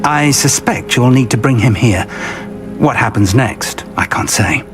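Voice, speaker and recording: A man's voice: medium pitch, unhurried, natural voice. Man playing an earnest wizard professor over music. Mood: earnest, guiding. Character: earnest middle-aged male wizard professor and mentor. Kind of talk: dramatic video game voice acting. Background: game music.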